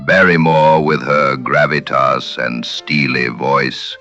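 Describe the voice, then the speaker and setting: A man narrating a scripted commentary on an old radio show, slow and deliberate. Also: very deep voice, steady tone, bright, forceful tone, highs cut off, soft background music. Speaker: podcast host.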